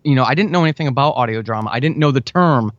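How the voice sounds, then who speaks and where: A man's voice: mid-range voice, animated, rapid-fire, no echo, muffled recording. An American man talking chattily and with enthusiasm as a guest in a conversational interview, repeating words for emphasis. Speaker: podcast guest, audio drama writer.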